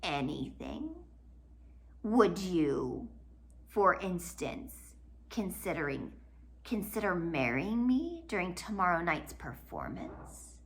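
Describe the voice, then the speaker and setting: A woman's voice: on the low side, big pitch swings, slow, slight background noise, full character voice. A woman voicing a scheming, villainous man in a storybook, menacing and mock-kindly, drawing out his words to taunt. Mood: sly, insinuating.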